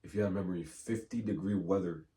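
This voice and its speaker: A man hosting a casual podcast, hoarse from a cold, loose and slangy, repeating himself as he talks. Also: sits low, quick pace, dark tone. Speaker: podcast host. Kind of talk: casual chat. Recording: distant mic with faint room noise.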